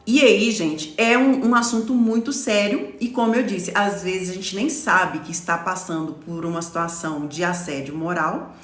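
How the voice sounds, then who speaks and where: A woman's voice: fairly low pitch, normal speed, reverberant. A woman in her forties from Brazil, a lawyer hosting a live stream and explaining to her followers in a chatty way, often checking in with "tá?". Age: 40s. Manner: explanatory, stops often to read comments, says "tá?".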